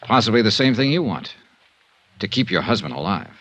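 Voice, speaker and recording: An actor playing a cool, tough, persistent insurance investigator, dryly delivered in 1950s radio detective style. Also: male voice, fairly low pitch, very animated, brisk, fairly bright tone, a little hiss or hum. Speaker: lead character and narrator. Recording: muffled 1950s radio recording.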